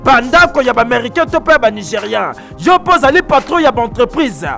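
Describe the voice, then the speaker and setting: A man's voice: very high voice, sing-song, fairly quick, gravelly, sharp tone, faint music behind. A man, delivering a hyped, sing-song advertisement. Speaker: ad announcer. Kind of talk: radio-style advertisement.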